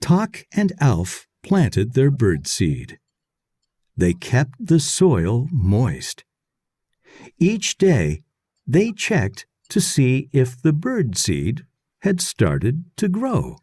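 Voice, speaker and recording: A man reading a children's story aloud in short, deliberate, clearly separated phrases. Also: medium pitch, wildly swooping pitch, mellow, no room sound, clean studio sound. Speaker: read-aloud narrator.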